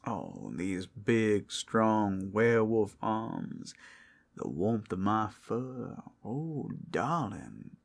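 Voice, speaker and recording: A suave werewolf acted out by a man for an ASMR roleplay, low and gravelly. He takes his time, teasing and flirting with a predatory edge, his pitch swinging widely as he drawls. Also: exaggerated voice. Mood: flirtatious, soothing. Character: suave, predatory male werewolf companion at a fantasy brothel.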